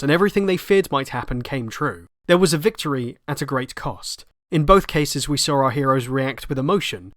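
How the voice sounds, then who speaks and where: A man reading scripted narration for a video essay in a measured, reflective way. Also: mid-range voice, no echo, clean studio sound. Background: background music.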